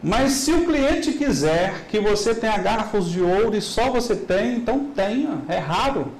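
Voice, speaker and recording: A middle-aged man with a Minas Gerais accent giving a lively business talk and asking the audience rhetorical questions. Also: high voice, measured pace, echoey room, slight background noise, poor audio. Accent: Minas Gerais Brazilian Portuguese. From Minas Gerais, Brazil. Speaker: business lecturer. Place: large hall.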